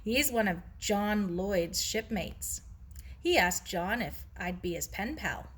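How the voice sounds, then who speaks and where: A bashful young English girl in a storybook , read by a woman. She talks in an earnest, colloquial way, with bright tone and lively pitch. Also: slow pace, breathy edge, exaggerated voice. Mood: bashful, pleased.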